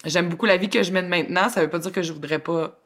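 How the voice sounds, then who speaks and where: A woman with a Québécois accent co-hosting a podcast, chatting in a lively, expressive, colloquial way and laughing often. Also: on the low side, quick pace. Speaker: podcast co-host. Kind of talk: casual chat.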